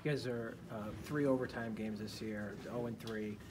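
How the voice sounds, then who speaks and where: A man, a sports reporter, asking quick postgame questions with some fumbling and uh fillers. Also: fairly low pitch, fairly even intonation. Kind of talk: press questions. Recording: loud background noise.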